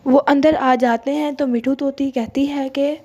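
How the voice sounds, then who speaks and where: A woman's voice: high-pitched, steady tone, a little slow, dark tone, lo-fi sound. A woman narrating a children's moral story as its storyteller.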